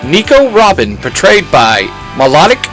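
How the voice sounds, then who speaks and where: A man's voice: high voice, wildly swooping pitch, moderate pace, fairly bright tone, soft background music. A man reading out cast credits as host, in a scripted announcer style.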